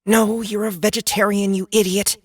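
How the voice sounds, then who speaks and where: A woman's voice: medium pitch, level tone, normal speed, darkish tone, slightly rough, no echo, studio quality, lightly acted. An actress playing an irritable, sarcastic young woman druid who curses and grumbles casually in a fantasy audiobook. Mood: wry grumbling. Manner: exasperated, casual muttering and cursing. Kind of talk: fantasy audiobook character acting.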